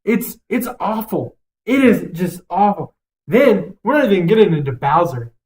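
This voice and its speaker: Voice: medium pitch, very animated, moderate pace, darkish tone. A young adult podcast co-host giving opinions in casual banter, swearing freely and rambling emphatically.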